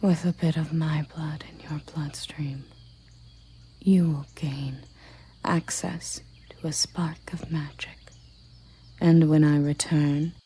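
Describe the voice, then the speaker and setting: A woman voicing a regal, tender and possessive elven queen in a breathy ASMR roleplay whisper, with soft, commanding endearments. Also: low voice, steady tone, slow, exaggerated voice. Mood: possessive, devoted.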